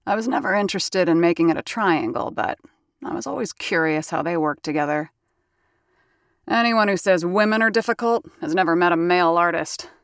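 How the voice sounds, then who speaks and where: A woman's voice: fairly low pitch, a little fast, dry recording, studio quality, close to an everyday voice. An actress playing a worldly, sarcastic woman working at a radio theater in an audio drama. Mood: wryly amused. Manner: wry, sarcastic. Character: sardonic young woman staffer, worldly.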